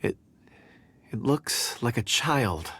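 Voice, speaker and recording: An actor playing a mysterious, polite young man in a video game, halting and hesitant in speech. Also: medium pitch, expressive, gravelly, a bit bright, a little hiss or hum, heavily acted. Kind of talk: video game character dialogue.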